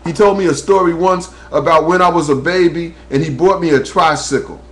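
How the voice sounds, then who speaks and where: A man's voice: on the high side, brisk, a bit bright. A man from New Jersey, a talk radio host, speaking an earnest, preacher-like webcam monologue that rises as he tells his own story. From New Jersey, USA. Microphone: webcam microphone.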